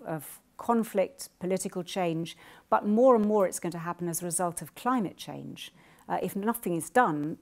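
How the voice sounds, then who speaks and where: A woman in her sixties giving a formal lecture and then answering questions in a measured, earnest way, in a wood-panelled college lecture room on a lectern mic. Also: fairly low pitch, darkish tone. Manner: measured, earnest, thoughtful pauses. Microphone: lectern microphone.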